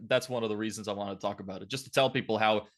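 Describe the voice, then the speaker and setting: A man's voice: lowish voice, steady tone, racing. A twenty-year-old man from Lithuania giving blunt, opinionated, casual answers as a podcast guest.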